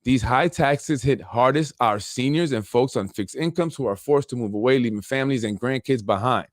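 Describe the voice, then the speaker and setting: A man talks politics in a loose, rambling way, chuckling through his asides and restarting his sentences. His voice sits at a middle pitch and is recorded close and dry, with no room sound. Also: moderate pace, no room sound. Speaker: podcast host. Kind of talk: casual political commentary reading an article aloud.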